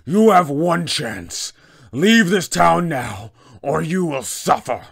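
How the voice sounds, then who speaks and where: A man voicing a brutal, menacing town enforcer from a fantasy tabletop game, forceful and commanding. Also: on the high side, very animated, takes it slowly, creaky, husky, voice pushed up, full character voice. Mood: cold threat. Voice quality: forceful, raised voice. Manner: commanding, threatening.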